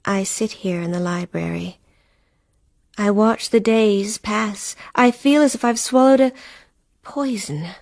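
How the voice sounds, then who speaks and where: Slow, hushed, grieving wife. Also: female voice, mid-range voice, gravelly, no room sound, studio quality. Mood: bleak, weary. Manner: hushed, slow and brooding, sometimes whispering. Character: grieving, weary wife of a vanished husband. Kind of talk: radio play acting.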